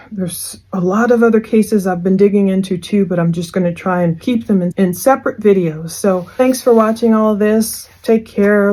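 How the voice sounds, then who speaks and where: A woman's voice: mid-range voice, warm tone. Informal woman doing true crime commentary. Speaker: true crime channel host. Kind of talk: casual case commentary.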